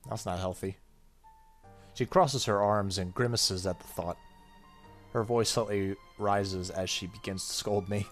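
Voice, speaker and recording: A man with a low voice narrates a visual novel as a gaming YouTuber. He moves between reading the text and casual asides, with faint music underneath. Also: fairly even intonation, measured pace, pauses often. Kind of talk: let's play, reading game text aloud with casual comments. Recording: faint game music behind voice.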